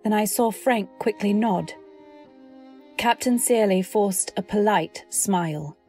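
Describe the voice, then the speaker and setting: A woman's voice: mid-range voice, takes it slowly, a little mellow, faint music behind. A woman playing an anxious, devoted wife who narrates her story in dramatic old-time radio style. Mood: polite, guarded. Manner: dramatic, earnest delivery. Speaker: narrator and actor.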